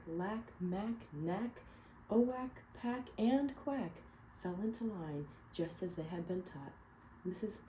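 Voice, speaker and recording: Woman, children's story reader, gentle, questioning, low voice, mellow tone. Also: slight background noise, poor audio. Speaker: read-aloud storyteller. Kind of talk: reading a picture book to children.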